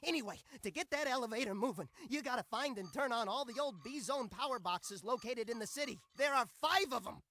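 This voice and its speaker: A man's voice: very high voice, expressive, fairly quick, hardly any pauses, bright, forceful tone, exaggerated voice. An actor voicing a fretful male tech genius in a video game, talking in nervous technical rambles. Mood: fretful, rambling. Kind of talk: animated video game cutscene acting.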